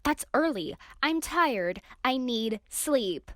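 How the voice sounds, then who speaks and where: A woman's voice: sits high, animated, slow pace, fairly bright tone, no room sound, studio quality, exaggerated voice. A woman playing an irritable, tired female passenger in an amateur audio drama, complaining. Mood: grumpy.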